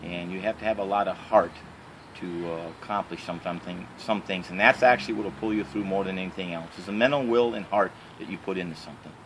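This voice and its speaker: Michigan guy in his 50s, deep-ish voice, talks slow with lots of uhs, outside, noisy background. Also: fairly bright tone. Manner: halting, many "uh" fillers. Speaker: interviewee, canoe racer. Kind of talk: casual interview answers. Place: outdoors by trees and a house.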